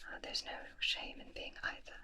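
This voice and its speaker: A woman whispering a fantasy ASMR roleplay as a kindly magical creature keeper, gently and unhurriedly addressing the listener up close. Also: moderate pace. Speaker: ASMR host playing a roleplay character. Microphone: close binaural microphone.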